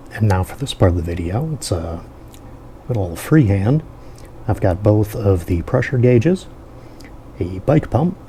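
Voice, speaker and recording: A man giving a casual hands-on product review, explaining things step by step in an unhurried way. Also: fairly low pitch, creaky, dark tone, slight background noise.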